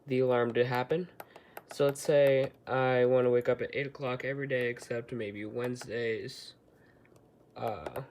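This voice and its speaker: A man's voice: medium pitch, creaky, darkish tone. Man casually demonstrating, noisy homemade recording. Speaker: hobbyist product reviewer.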